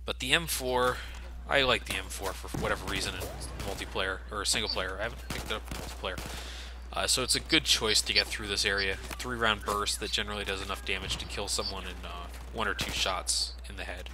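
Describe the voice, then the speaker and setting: A young man giving relaxed, casual commentary over a game, swearing freely and laughing often. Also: on the low side, fairly quick. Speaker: let's play commentator. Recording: game gunfire noise behind. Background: game gunfire and ambience.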